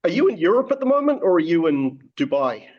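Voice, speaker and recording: A man with a fairly high voice asks questions in a wry, joking, easygoing way. His voice sounds muffled and lo-fi, as it would over a video call. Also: big pitch swings, fast, darkish tone. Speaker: interviewer. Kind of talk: casual video call chat. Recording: dull lo-fi video call audio.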